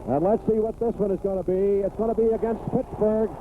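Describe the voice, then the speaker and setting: An American man calling football play-by-play on the radio in clipped phrases that build toward excited calls. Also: sits high, brisk. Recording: tinny, noisy 1980s AM radio broadcast.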